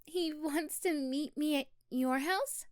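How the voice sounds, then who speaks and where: Sweet flustered schoolgirl with a crush, acted by a woman, high voice, giggly and halting, ASMR roleplay, very dry sound. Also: dry recording. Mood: sweet, friendly. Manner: hesitant, giggly, bashful.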